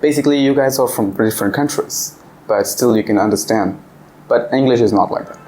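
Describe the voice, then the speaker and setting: A man's voice: mid-range voice, brisk, a little mellow, a little hiss or hum, rough recording. Man quizzing an English class. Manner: asks the class questions. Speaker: visiting English teacher. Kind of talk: classroom discussion.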